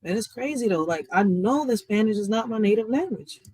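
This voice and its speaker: An American vlogger talks straight at the audience in a preachy, urging tone. The sound is dry and close, with no room around it, and the pitch sits in a middle range. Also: expressive, fairly quick, a little mellow, slightly rough, no echo. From United States. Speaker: vlogger commenting on a clip. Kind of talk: casual commentary to viewers.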